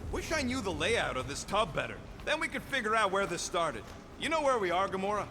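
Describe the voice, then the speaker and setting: A man playing a gruff, sarcastic, irritable raccoon engineer in a video game, brash and slangy. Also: sits high, sing-song, fairly quick, noisy background. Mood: impatient. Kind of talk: animated game character acting.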